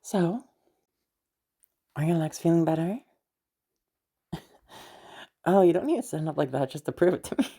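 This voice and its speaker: A woman acting as a friendly escort in an audio roleplay, with a low, creaky voice. She rambles casually to the listener, sweary and giggly, and is easily flustered. Her tone is soft-edged and mellow. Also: expressive, moderate pace, long pauses, exaggerated voice. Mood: casual, enthusiastic. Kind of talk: roleplay audio drama, casual improvised-sounding monologue to the listener.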